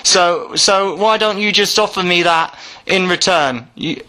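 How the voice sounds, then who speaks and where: A man's voice: high-pitched, measured pace, bright, forceful tone. A man arguing on a phone call, insistent and exasperated, often cutting in. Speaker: caller trying to get back a lost phone. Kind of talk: argumentative phone conversation.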